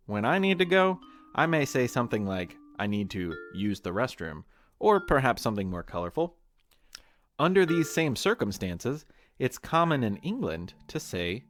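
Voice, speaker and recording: Playful American male quizmaster, animated mid-range voice, direct to listeners, music underneath. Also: medium pitch. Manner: playful, talking straight to listeners. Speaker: podcast host and quizmaster. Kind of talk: playful scripted quiz narration.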